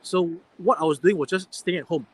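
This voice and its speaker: A man's voice: high voice, brisk, slightly rough. A man hosting a casual live stream chat, asking probing questions in an inquisitive, chatty way. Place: airport waiting area at night.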